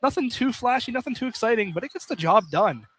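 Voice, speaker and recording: High-pitched male basketball play-by-play announcer, noisy. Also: lively intonation, fast talker, a bit bright. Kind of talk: live basketball radio commentary. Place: indoor college field house, courtside. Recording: noisy live gymnasium broadcast.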